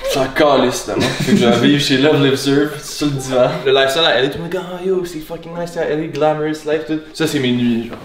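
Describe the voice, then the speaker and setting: A young man with a Québécois accent telling stories casually, relaxed and sprinkled with English slang. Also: fairly high pitch, fast, few pauses, reverberant, far from the mic, crowd noise. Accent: Québécois French. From Quebec. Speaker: video editor, co-host. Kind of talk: casual storytelling chat.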